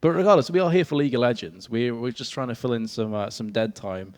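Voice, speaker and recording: A man's voice: mid-range voice, quick pace. A young man with an English accent, casting an esports match and joking about himself with his co-caster. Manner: self-mocking, jokey, laughs often. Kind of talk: casual caster banter. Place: event stage in a venue.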